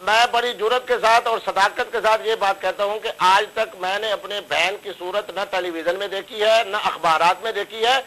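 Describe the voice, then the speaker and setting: High-pitched preachy Pakistani cleric on a line. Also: male voice, fairly even intonation, normal speed, hardly any pauses, bright, forceful tone, poor audio. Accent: Pakistani Urdu. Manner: formal, preachy, Urdu religious diction. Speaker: cleric guest. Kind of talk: heated TV debate.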